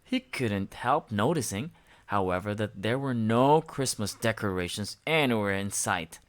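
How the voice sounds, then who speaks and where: A woman reading a children's storybook aloud with expressive narration. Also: extremely low pitch, unhurried.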